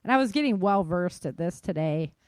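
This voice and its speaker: A woman's voice: lowish voice, lively intonation, brisk, darkish tone, clear, resonant tone, no echo. Casual woman podcast host, close dry sound. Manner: informal, slangy, self-deprecating. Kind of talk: casual chat.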